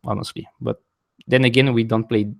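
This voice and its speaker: A man's voice: mid-range voice, level tone, normal speed, vocal fry. A man streaming a board game live from home, explaining the rules step by step and chatting with viewers, often correcting himself, with a non-native English accent.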